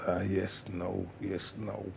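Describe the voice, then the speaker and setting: A man, a fight trainer, telling animated stories in broken English as an interview guest. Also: low voice, slow, gravelly, a little hiss or hum. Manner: animated storyteller, broken English, acts out quotes. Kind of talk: casual storytelling interview.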